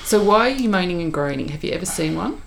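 A woman's voice: lowish voice, animated, fast talker, vocal fry, background noise. A middle-aged woman co-hosting a podcast, chatting casually with a friend in a study at home. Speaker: podcast co-host. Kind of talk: casual chat between friends.

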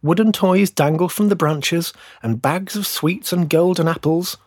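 A man voicing small, eager, knowing sparrows in a storybook, chirping excitably as they tell what they have seen. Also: a little high, a little slow, no echo, clean studio sound, voice pushed up. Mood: eager, chirpy.

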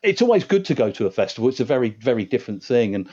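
A man's voice: medium pitch, very animated, racing, mellow, slightly rough. A man from Reading who volunteers at the festival, chatting in an interview with lots of repeats and hesitations. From England, Reading. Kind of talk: casual interview chat. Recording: dull lo-fi audio.

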